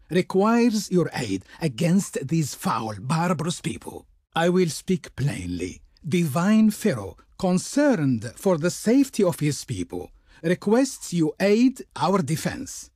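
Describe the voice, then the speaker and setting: An actor playing a proud, ceremonious Egyptian emissary in a video game, declaiming flowery, servile words with formal reverence. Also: male voice, a little high, darkish tone, slightly rough, dry recording, clean studio sound, full character voice. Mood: solemn, urging. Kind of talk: theatrical video game character dialogue.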